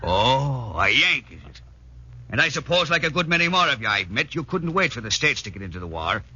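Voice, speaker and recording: Forceful, raspy Irish sergeant major. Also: male voice, mid-range voice, sing-song, a little fast, full character voice. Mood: sardonic. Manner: sarcastic, commanding. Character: tough, sardonic Irish commando sergeant major drilling recruits. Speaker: actor in a wartime radio drama. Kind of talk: 1940s radio acting. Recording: dull vintage 1940s radio recording.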